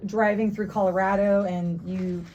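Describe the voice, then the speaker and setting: A woman co-hosting a casual family chat, an animated storyteller who laughs and acts out quotes. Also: medium pitch, moderate pace, far from the mic, lo-fi sound, crowd noise. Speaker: co-host, daughter.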